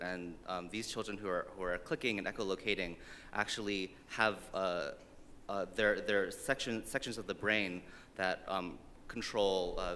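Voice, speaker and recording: A man commenting from the audience, halting and hesitant, often searching for words and saying like. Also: low-pitched, bright, forceful tone. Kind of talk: unscripted comment from the floor. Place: large conference hall, audience floor. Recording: hall reverb, faint background noise.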